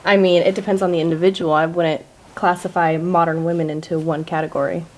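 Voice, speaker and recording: A woman in her twenties on a podcast panel, measured and careful, hedging often. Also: fairly low pitch, little pitch movement, darkish tone. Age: 20s. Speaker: fitness coach, panel guest. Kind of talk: casual panel discussion.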